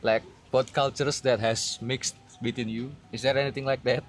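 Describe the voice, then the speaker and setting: A man's voice: medium pitch, flat delivery, normal speed. Curious male interviewer. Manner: curious, probing follow-up questions. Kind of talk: casual interview questions.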